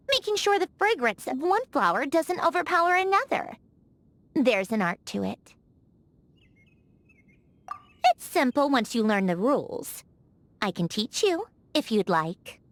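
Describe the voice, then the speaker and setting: An actress voicing a haughty, prickly young genius lady automaton in a video game, huffy and easily flustered, with a secretly soft heart. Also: on the high side, full character voice. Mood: proud, composed. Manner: haughty, huffy, flustered when caught being kind. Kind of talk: scripted video game character voice acting.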